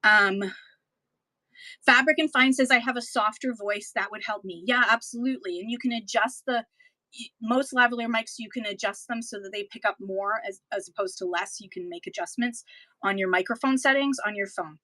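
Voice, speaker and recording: A woman's voice: a little high, normal speed, pushed and bright, no echo, rough recording. A Canadian woman, a livestream seller, chatting casually and practically to her viewers as she gives selling advice. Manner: chatty, practical, with frequent ums and restarts. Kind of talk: casual instructional talk to viewers.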